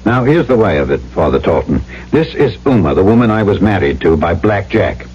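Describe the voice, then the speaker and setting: Radio drama lead: brash trader, blunt, animated, mid pitch, dull old broadcast sound. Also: male voice, fairly quick. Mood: insistent. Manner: blunt, emphatic. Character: brash, headstrong male trader, blunt but earnest. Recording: muffled, faintly noisy old radio broadcast.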